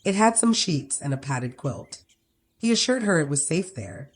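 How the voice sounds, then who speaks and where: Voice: mid-range voice, sing-song, normal speed, slightly rough. A narrator of ambiguous sex reading a scripted dramatic story. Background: music.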